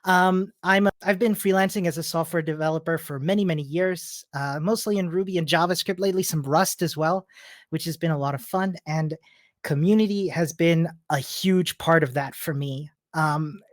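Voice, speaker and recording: A man's voice: fairly high pitch, normal speed, no room sound, studio quality. A man from Chile giving a conference talk as a personal story, in a friendly, informal manner. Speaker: conference speaker, software developer. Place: remote virtual meetup presentation.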